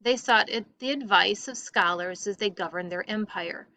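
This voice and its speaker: A woman teacher reading a textbook aloud to a class with deliberate, emphatic phrasing. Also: mid-range voice, sharp tone, no echo.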